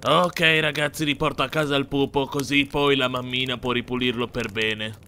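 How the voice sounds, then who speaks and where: A man's voice: mid-range voice, level tone, normal speed, pushed and bright, slight background noise. A man voicing a cocky, mean older brother in a cartoon dub, sneering and taunting in crude slang. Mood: irritated, scornful.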